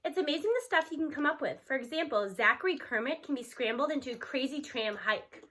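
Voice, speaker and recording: A woman's voice: high voice, expressive, a little fast, a bit bright. An American woman reading a children's novel aloud, telling it expressively in the first person as a teenage narrator. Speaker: storybook reader.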